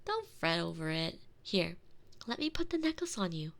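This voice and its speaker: A woman's voice: fairly low pitch, normal speed, creaky. A woman playing a doting, possessive young noblewoman in a scripted roleplay, spoiled and insistent, speaking in a gushing, coaxing way straight to the listener. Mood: possessive, tender. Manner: gushing, coaxing, expressive and intimate.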